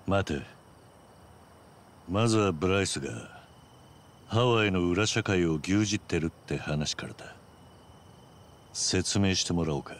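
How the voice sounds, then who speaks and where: A man's voice: deep voice, a little slow, pauses often, slight background noise. An actor playing a cool, commanding veteran yakuza man in a video game, terse, blunt and controlled. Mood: firm, demanding. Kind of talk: Japanese video game cutscene acting.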